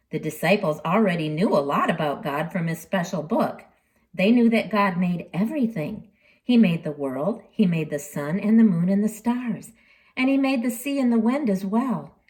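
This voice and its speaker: A woman storyteller reading expressively to children, dramatic in delivery, with deliberate pauses and emphasis. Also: mid-range voice, animated, a little mellow.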